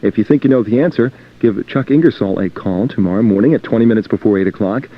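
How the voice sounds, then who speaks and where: A man's voice: on the low side, quick pace. A man working as a radio DJ, announcing music and reading station promos. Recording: dull lo-fi radio aircheck tape.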